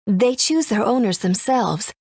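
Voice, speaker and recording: An actress voicing a gentle, solemn mother in a video game, speaking in a measured, earnest way. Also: a little high, animated, breathy edge, no room sound, studio quality, full character voice. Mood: solemn, explanatory. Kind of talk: scripted game exposition.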